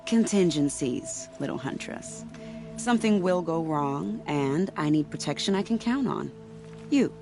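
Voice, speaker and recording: A woman's voice: low voice, lively intonation, measured pace, warm tone. An actress playing a sly, self-assured female spy in a video game, with an arch, teasing manner. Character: sly, poised female spy, playful and patronizing. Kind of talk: video game dramatic acting. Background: faint music.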